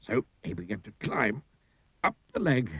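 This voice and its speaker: A man narrating an animated children's story, theatrical, with drawn-out emphasis on key words. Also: mid-range voice, breathy edge, no echo. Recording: dull lo-fi audio with music. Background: music.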